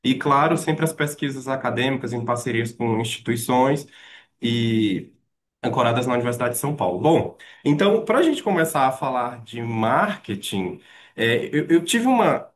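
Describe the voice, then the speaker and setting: A man's voice: mid-range voice, very animated, normal speed. A man from Maranhão with a Maranhão Brazilian Portuguese accent, giving a polite online lecture over a video call, with frequent hesitations.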